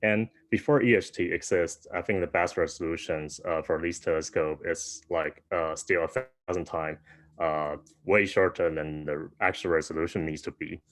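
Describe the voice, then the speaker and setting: A young man from Taiwan giving an online academic talk, soft-spoken with many fillers and restarts, over a video call. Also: low-pitched, fairly even intonation, normal speed, no echo. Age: young adult. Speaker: guest speaker.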